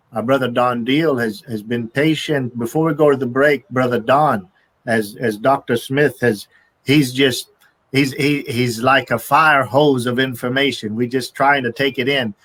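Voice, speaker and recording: A man's voice: medium pitch, a bit bright. Courteous middle-aged male panel host. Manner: courteous, halting, many restarts. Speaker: show host. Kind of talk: hosting a panel discussion. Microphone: video call webcam.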